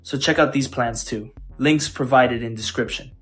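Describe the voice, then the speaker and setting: A man with a medium-pitched voice hosts a tech tutorial. He narrates in a scripted, explanatory style with some music playing behind him. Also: normal speed, fairly bright tone. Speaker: tech channel host.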